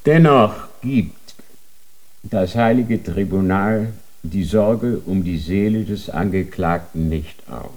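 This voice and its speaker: A solemn Inquisition judge in a radio drama, cold and official. He pronounces each phrase slowly and formally in a fairly low voice. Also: darkish tone, rough recording. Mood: solemn, stern. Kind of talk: German radio drama acting.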